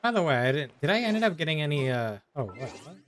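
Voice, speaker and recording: A man's voice: a little high, very animated, fast talker, fairly bright tone, faint music behind. Casual male game streamer, offhand. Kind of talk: casual live gaming commentary.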